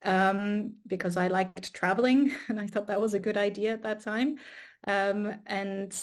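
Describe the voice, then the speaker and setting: A woman's voice: mid-range voice, steady tone, a little slow. A woman, an astrophysicist and artist, talking through her path in a thoughtful, casual way with frequent restarts, recorded over a video call. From Austria. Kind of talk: casual conversation.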